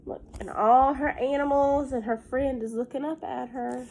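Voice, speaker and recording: A woman's voice: high voice, steady tone, normal speed, gravelly, a little mellow, slight background noise, rough recording. A woman teacher talking and reading aloud to young children, explaining things simply with little checking questions, sounding a bit congested from pollen. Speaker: elementary school teacher.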